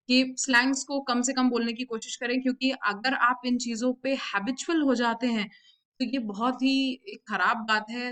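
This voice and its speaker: A woman's voice: fairly high pitch, a bit bright. An Indian woman giving instructional coaching straight to viewers, emphatic and encouraging, repeating key advice as she goes. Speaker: body language and communication coach. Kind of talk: instructional talk to viewers, mixing Hindi and English.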